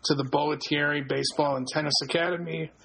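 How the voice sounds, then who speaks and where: A man's voice: fairly high pitch, steady tone, fast, vocal fry, a bit bright, husky, rough recording. A man chatting on a casual podcast, laughing through his words and stumbling over restarts.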